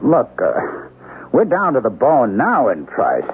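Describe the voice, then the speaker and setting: A man's voice: medium pitch, huge pitch swings, takes it slowly. An actor playing a meek, anxious salesman and new father in a radio drama, hesitant and deferential, often stammering. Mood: businesslike, uneasy. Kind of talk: 1970s radio drama acting. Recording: noisy, tinny old radio broadcast.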